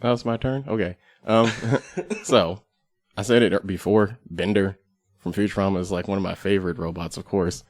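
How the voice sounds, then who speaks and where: A man chatting casually as a podcast guest, piecing his thoughts together with pauses. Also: low-pitched, steady tone, dry recording, clean studio sound. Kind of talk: casual panel discussion. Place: podcast studio.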